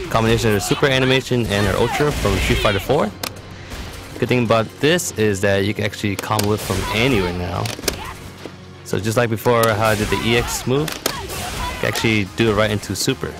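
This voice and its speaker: A man with a fairly low voice walks through a fighting game character in a casual, matter-of-fact way. He explains like a competitive player giving a guide. Game music plays clearly behind his voice-over. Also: brisk. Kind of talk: explanatory video game tutorial commentary.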